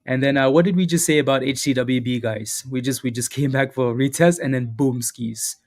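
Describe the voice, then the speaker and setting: A man hosting a live stock trading stream, chatting casually and upbeat, repeating phrases and calling out viewers by name, a little hoarse and clearing his throat now and then. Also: medium pitch, a little fast. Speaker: stock trading livestream host. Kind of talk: live market commentary with chat shout-outs.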